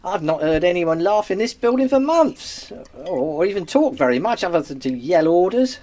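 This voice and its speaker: Actor as gossipy London post room clerk, lively delivery. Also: male voice, sits high, normal speed. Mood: chatty, eager. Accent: London working-class. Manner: colloquial, cheeky storytelling. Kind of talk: radio play acting.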